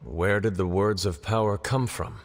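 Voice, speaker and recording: An actor playing a grave, reserved ancient warrior in a game cutscene, formal and terse. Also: male voice, on the low side, steady tone, a little slow. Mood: grave, questioning. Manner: formal, terse, few contractions.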